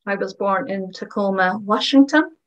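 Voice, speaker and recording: A woman speaking as a voice-over interpreter, giving first-person testimonies of signers in short, measured phrases. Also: medium pitch. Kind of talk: voicing first-person testimonies in plain narration.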